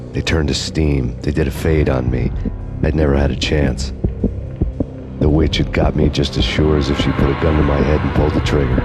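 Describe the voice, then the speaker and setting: A very low-voiced noir cop narrates a video game story. He is deadpan and brooding, worn down and out for revenge, with music behind him. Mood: grim, weary. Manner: deadpan, world-weary. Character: brooding hard-boiled noir cop narrator, weary and vengeful.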